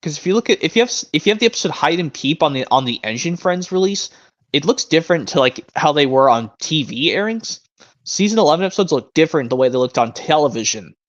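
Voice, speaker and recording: A man's voice: on the high side, expressive, fast talker. A man in his twenties hosting a casual live stream, rambling and often restarting his sentences. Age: 20s. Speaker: stream host. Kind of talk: casual chat. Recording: muffled lo-fi stream audio.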